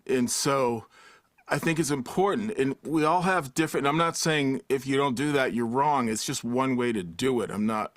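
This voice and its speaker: A man's voice: medium pitch, no echo. A man talking off the cuff in a panel discussion, carefully qualifying and restating his points. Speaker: audio drama creator on a panel. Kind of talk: unscripted panel talk.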